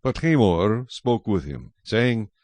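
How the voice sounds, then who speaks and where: A man narrating scripted scripture in a solemn, measured storytelling manner. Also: on the low side, sing-song, a little mellow, no room sound.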